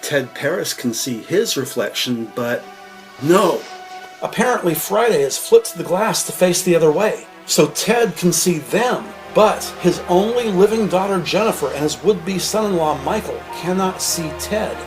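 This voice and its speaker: A man's voice: high voice, lively intonation, unhurried, over music, poor audio. A man narrating a scripted crime audio drama with vivid, slightly wry storytelling.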